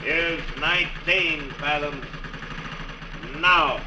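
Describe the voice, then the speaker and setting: Crooked skipper, sharp forceful highish voice, bossy and menacing, old radio play. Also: male voice, steady tone, drawn-out words, a little hiss or hum, heavily acted, low-quality recording. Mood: businesslike. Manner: forceful, commanding, coaxing menace. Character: Skipper, hard double-crossing ship's captain with a gun. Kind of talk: 1940s-50s radio drama acting.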